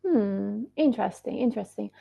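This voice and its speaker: A woman's voice: mid-range voice, animated, moderate pace, gravelly. A woman from the Netherlands with a Dutch accent, a guest answering interview questions thoughtfully and reflectively, often hedging with "I guess". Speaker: guest, startup founder. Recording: muffled lo-fi audio.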